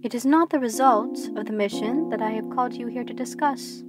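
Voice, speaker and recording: A woman playing a stern, measured supervisor of a secretive facility in an audio drama, formal, clipped and authoritative. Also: on the high side, lightly acted. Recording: prominent musical backing. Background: plucked guitar music.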